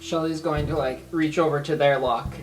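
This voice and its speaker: Higher-pitched man, casual tabletop player. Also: steady tone, moderate pace, low-quality recording. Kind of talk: casual improvised description of character actions. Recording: background music under voice.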